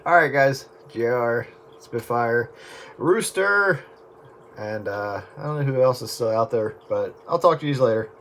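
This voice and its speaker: Male radio hobbyist, mid pitch, informal chat, light static on a two-way set. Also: animated, a little slow. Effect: two-way radio transmission. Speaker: radio hobbyist who records the sessions. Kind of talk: casual sign-off chat over radio. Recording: CB radio signal with faint static.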